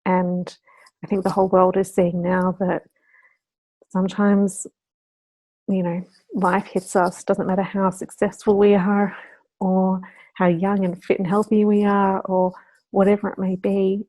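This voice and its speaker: Female vlogger, slightly croaky and warm, friendly and upbeat, dry close sound. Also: medium pitch, flat delivery, measured pace, long pauses, no room sound, rough recording. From Australia. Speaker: budgeting channel host. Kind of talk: casual talk to camera.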